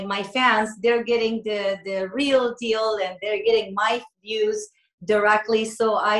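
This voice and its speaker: A woman's voice: medium pitch, fairly bright tone, roomy sound, low-quality recording. A woman singer raised in America answering interview questions in casual talk, hesitant with many fillers and restarts. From United States.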